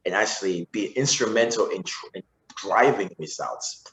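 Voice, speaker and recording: A man's voice: lowish voice, moderate pace, a bit bright. A man in his forties from Zimbabwe, a podcast guest talking casually and often restarting his sentences. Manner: rambling with frequent restarts and fillers. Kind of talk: casual interview conversation. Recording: roomy echo.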